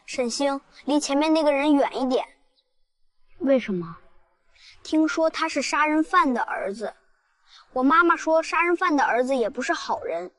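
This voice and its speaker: A woman's voice: high voice, lively intonation, moderate pace. A woman voicing a small, gossipy and wary schoolchild in an audio drama. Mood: wary, spiteful. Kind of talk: dramatized audio fiction acting.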